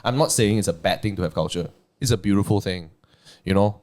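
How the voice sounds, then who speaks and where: A young man with a Malaysian English accent, co-hosting a casual podcast and arguing his point with animated energy. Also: sits low, a little fast. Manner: animated, argumentative, says "bro" and "lah". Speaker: podcast co-host.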